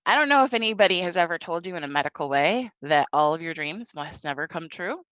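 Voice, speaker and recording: A woman's voice: fairly low pitch, animated, fast, fairly bright tone, dry recording, muffled recording. A woman around forty giving a prepared personal talk in a candid, wry, emphatic conversational way. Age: 30s to 40s. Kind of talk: prepared personal testimony read aloud, conversational.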